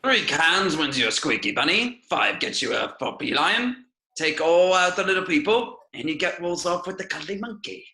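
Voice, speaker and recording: A smiling village doctor, read by a man giving a slow fairground patter in a bright, high-ish voice. The call audio is echoey. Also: expressive. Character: smiling male village doctor at fair stall.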